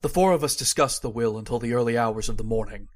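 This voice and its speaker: A man's voice: medium pitch, fast, natural voice. A man acting as an uneasy heir who narrates a mystery in an old-time radio horror style. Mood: brooding.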